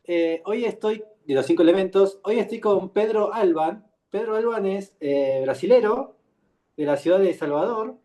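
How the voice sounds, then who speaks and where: A man with a Rioplatense Spanish accent, hosting an interview and asking curious, relaxed questions. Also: high-pitched, normal speed. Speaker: interview host. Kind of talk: interview conversation.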